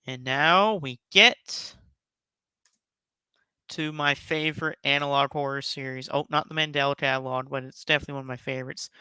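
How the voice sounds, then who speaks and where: A man's voice: mid-range voice, fairly even intonation, normal speed, sharp tone, smooth, dry recording. A man hosting a casual, enthusiastic countdown commentary, speaking in an emphatic, effusive and rambling way. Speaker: YouTube host giving a top ten countdown.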